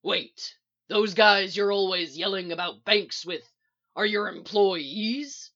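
A woman reading as a blunt, gruff male criminal partner in a story. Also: medium pitch, slow, a bit bright, muffled recording. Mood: upset, demanding.

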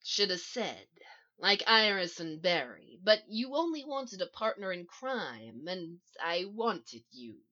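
A woman's voice: mid-range voice, animated, normal speed, sharp tone, lo-fi sound. A woman reading as a mild, tired male supervillain in a story, matter-of-fact and subdued. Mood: small, apologetic.

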